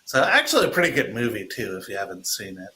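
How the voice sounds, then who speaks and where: A man's voice: fairly low pitch, wildly swooping pitch, quick pace, crowd noise. A man hosting a casual chat, speaking hesitantly and thinking aloud. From United States, Midwest. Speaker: podcast host.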